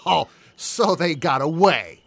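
An actor playing an exasperated villainous professor in an audio play, condescending and menacing. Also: male voice, fairly high pitch, expressive, creaky, fairly bright tone, breathy edge, exaggerated voice. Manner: condescending, menacing, drawn-out questioning.

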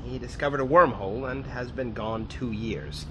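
A man playing an excitable, secretive time-travelling professor in an audio drama. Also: medium pitch, moderate pace, noisy background, close to an everyday voice. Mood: worried explaining.

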